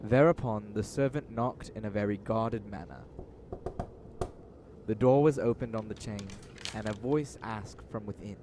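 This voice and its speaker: A man's voice: fairly low pitch, unhurried, dark tone, a little hiss or hum. A man reading scripted narration for an audio drama as announcer and storyteller.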